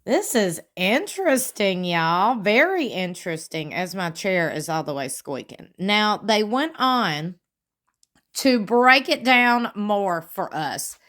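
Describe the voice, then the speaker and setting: A woman, commenting on a reality show, reading fan posts aloud and adding wry asides while sitting in a squeaky chair at home. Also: sing-song, slow pace, fairly bright tone. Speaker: commentary vlogger.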